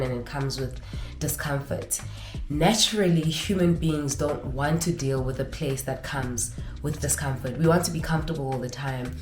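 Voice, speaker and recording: A woman's voice: very deep voice, a little mellow, echoey room. A young woman vlogger sharing casual life advice to camera, sounding nasal and congested from a lingering infection.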